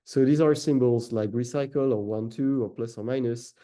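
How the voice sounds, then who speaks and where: A man's voice: mid-range voice, moderate pace, mellow. A man whose first language is French, speaking with a French accent, giving an academic lecture with many "uh" fillers and self-corrections. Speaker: researcher giving a talk.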